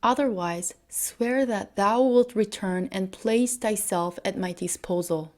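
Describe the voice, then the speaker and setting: A woman's voice: mid-range voice, unhurried, a little mellow, lightly acted. Woman reading as a formal, commanding storybook beast. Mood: stern, formal. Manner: archaic, stately wording. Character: formal, commanding male beast of an enchanted house.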